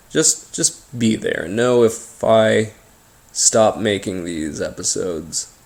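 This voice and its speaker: An American man telling a first-person paranormal story in an informal, halting way, pausing between short phrases. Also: low-pitched, vocal fry. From Appalachian region of the United States. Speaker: host and narrator of a paranormal story series.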